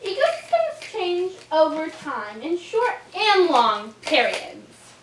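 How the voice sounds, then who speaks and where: Puppet-show pupil, acted by a woman: extremely high, lively, eager textbook recital, recorded from far off in a roomy space. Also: slow pace, a little hiss or hum, exaggerated voice. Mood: studious. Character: eager squeaky schoolchild puppets quizzing their teacher. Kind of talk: amateur scripted puppet show. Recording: echoey room, distant mic.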